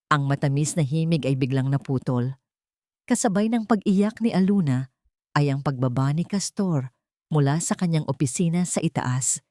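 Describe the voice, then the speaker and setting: A woman reading a scripted romantic drama story in a measured, dramatic storytelling manner. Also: deep voice, darkish tone, dry recording, studio quality. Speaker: narrator.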